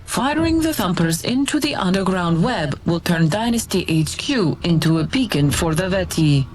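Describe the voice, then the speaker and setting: Actress as businesslike female military adviser, lively pitch. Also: moderate pace, background noise, natural voice. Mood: businesslike, urgent. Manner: clipped, businesslike, informative. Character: crisp, efficient female military operations adviser. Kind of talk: game mission briefing and alerts.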